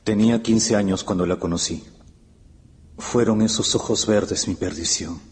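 A man's voice: lowish voice, normal speed, a little mellow, slight background noise, close to an everyday voice. A man playing a lovestruck, anxious young historian in a radio drama, brooding, hesitant and intense. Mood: brooding, astonished. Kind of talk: Spanish-language radio drama acting. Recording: radio play with faint music bed.

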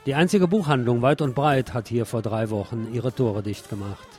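A man's voice: mid-range voice, moderate pace, darkish tone. Sardonic male radio essayist over music. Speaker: radio host. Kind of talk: scripted radio essay, literary first-person narration.

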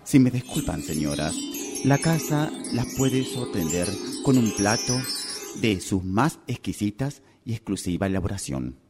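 A man playing a formal, obliging, deadpan waiter in a comic radio play. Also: on the low side, measured pace, over music. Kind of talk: comic radio theatre acting.

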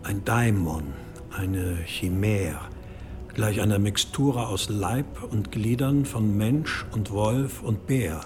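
A man playing a grave old-time chronicler in a radio play, reading in measured, ominous archaic diction. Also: fairly low pitch, darkish tone, faint music behind. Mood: ominous, solemn.

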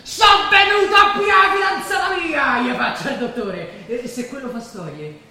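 A young actor with a Roman accent playing a devoted, boastful working-class boyfriend in a stage drama, colloquial and earnest. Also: male voice, very high voice, normal speed, pushed and bright, far from the mic, exaggerated voice. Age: young adult. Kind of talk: naturalistic stage drama acting. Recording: very echoey hall from afar, faint noise.

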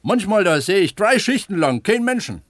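An actor playing an eager, earnest pump attendant at an opencast mine in a naturalistic radio drama, explaining things like a tour guide. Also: male voice, high-pitched, very animated, a little fast, fairly bright tone, no echo, clean studio sound, full character voice. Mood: explanatory.

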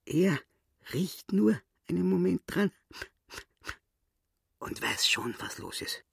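An actor playing a boastful, cunning little dwarf crook in a radio play, with a Viennese accent and a croaking, wheedling voice. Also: male voice, high voice, very animated, slow pace, exaggerated voice. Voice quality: raspy, croaking. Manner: wheedling, bragging storyteller.

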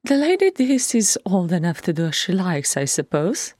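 A woman voicing a calm, motherly elder dwarf sister who coaxes and soothes. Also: on the low side, normal speed, dry recording, studio quality, pitched above the performer's own voice. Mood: soothing.

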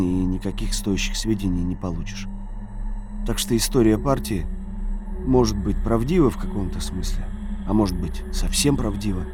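An actor narrating an audio drama as a serious, measured storyteller with literary delivery. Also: male voice, fairly low pitch, natural voice. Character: serious male third-person narrator of a bleak novel.

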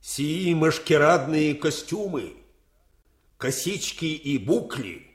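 Актёр играет чопорного, угодливого графа, докладывающего императору, в советской радиопостановке. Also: male voice, fairly high pitch, animated, slow pace. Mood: indignant, reporting. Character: stiff, servile count informing on others to his emperor. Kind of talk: 1980s Soviet radio drama acting. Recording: roomy radio drama studio echo.

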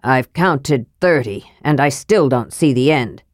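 A woman's voice: rumbling low, lively intonation, unhurried, no echo, studio quality, voice pushed down, full character voice. A woman voicing a grumpy, stubborn old male dwarf who growls curt replies. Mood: grumbling.

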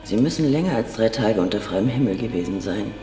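An actor playing a polite, evasive Soviet forensic doctor in a radio crime drama, speaking in a deliberate, clinical way. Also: male voice, lowish voice, animated, warm tone, a little hiss or hum. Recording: reverberant morgue-like room with music.

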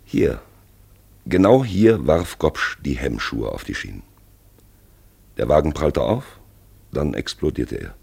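An actor playing a probing, brooding narrator who guides listeners round an accident scene in a German radio play, confiding and insistent, with a gravelly, husky voice. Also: male voice, very deep voice, very animated, slow, long pauses, a little mellow, heavily acted. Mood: brooding, intent. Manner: insistent, confiding, rhetorical asides.

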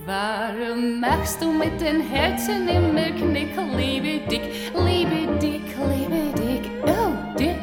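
A woman sings theatrically in a high voice, stretching her words very slowly. It is a roomy 1960s radio theme-song recording. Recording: roomy, echoing, under music.

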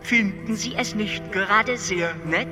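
A male actor playing a calm, detached alien who explains things matter-of-factly in a radio drama, at times stuttering on syllables. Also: sits high, measured pace. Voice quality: stuttering, glitchy repeated syllables. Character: detached, matter-of-fact stammering alien being. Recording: music under the voice. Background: music.

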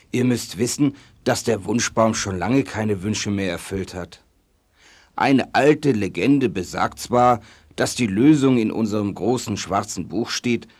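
A man's voice: lowish voice, darkish tone. A man playing a weary, kindly, somewhat bemused king in a children's audio play.

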